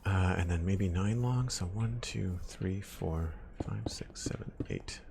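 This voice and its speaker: A young man with a deep voice chatting casually on a live stream, thinking aloud as he builds, into a desk mic at home. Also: slow pace, gravelly, warm tone, a little hiss or hum. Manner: rambling, thinking aloud, counts blocks under his breath. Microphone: desk microphone with headphones.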